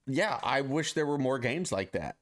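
A man's voice: medium pitch, expressive, normal speed, fairly bright tone. A man co-hosting a live-streamed podcast, chatting casually and joking, rambling and stumbling over his words. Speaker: podcast co-host. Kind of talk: casual chat.

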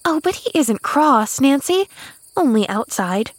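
Woman as a high, earnest young girl. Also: sing-song, unhurried, no room sound, exaggerated voice. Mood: earnest, loyal. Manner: earnest, guileless, breathless chatter. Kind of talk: audiobook character voice.

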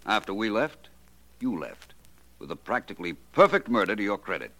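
Cool confident sleuth, deep, animated, bright tone. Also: male voice, a little fast, full character voice, poor audio. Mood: assured. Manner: cool, explanatory. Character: suave, confident male gentleman detective. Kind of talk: 1940s radio acting.

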